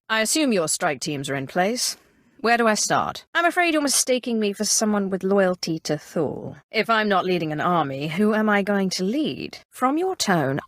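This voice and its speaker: An actress playing a cool, ambitious Sith inquisitor in a video game, formal, haughty and commanding in measured, scripted lines. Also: female voice, medium pitch, no room sound, clean studio sound, natural voice.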